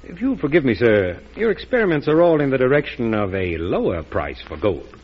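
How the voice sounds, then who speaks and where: An actor playing a polite, insinuating financier who feigns humility, in an old radio drama. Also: male voice, medium pitch, big pitch swings, brisk, husky. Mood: courteously persuasive. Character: smooth-talking, scheming, falsely humble male financier. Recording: dull old radio recording with hiss.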